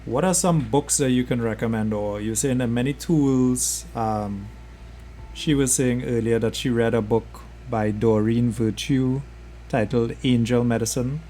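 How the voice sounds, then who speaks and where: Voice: medium pitch, unhurried, dark tone, slight background noise. A podcast host asking questions in a supportive, casual way with many fillers. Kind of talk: conversational interview.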